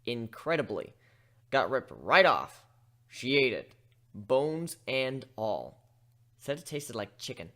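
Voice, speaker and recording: A man voicing a cocky, sarcastic young male griffin in a story reading, teasing and deadpan. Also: medium pitch, takes it slowly, pauses often.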